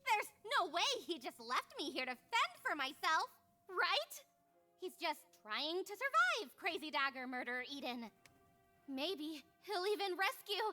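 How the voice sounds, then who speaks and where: A woman's voice: very high-pitched, big pitch swings, a little slow, bright, forceful tone, soft background music, pitched above the performer's own voice, heavily acted. A woman voicing a hot-tempered, self-pitying young magical girl from a visual novel, dramatic and exasperated. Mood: frustrated. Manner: dramatic, sarcastic, exasperated outbursts. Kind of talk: visual novel character voice acting.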